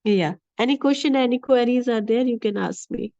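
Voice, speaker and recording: A woman with a heavy cold giving an online lecture, hoarse and hesitant with many fillers. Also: on the high side, moderate pace, warm tone, no room sound, highs cut off. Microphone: video call.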